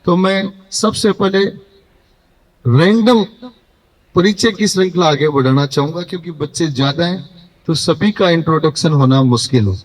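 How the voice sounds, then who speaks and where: Male college host on handheld mic; brisk, high voice, long hall reverb. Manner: brisk, repeats short praise. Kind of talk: addressing a hall of students. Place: large hall.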